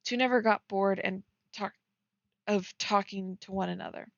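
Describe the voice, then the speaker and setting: A woman's voice: mid-range voice, fairly even intonation, moderate pace, creaky, muffled recording. A giggly woman casually reading fan fiction aloud and breaking off to comment on it as she streams.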